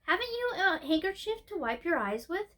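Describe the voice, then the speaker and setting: A woman's voice: extremely high pitch, very animated, normal speed, bright, forceful tone, voice pushed up, heavily acted. A woman voicing a kindly, very old lady in a storybook, with a sweet, slightly shaky voice and a tender manner. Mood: gently concerned.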